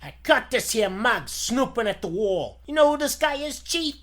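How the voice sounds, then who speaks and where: A man's voice: very high voice, very animated, normal speed, a bit bright, voice pushed up, exaggerated voice. A man voicing a dim, obedient gangster henchman with slangy, deferential thug talk in 1940s radio style. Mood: obedient, menacing.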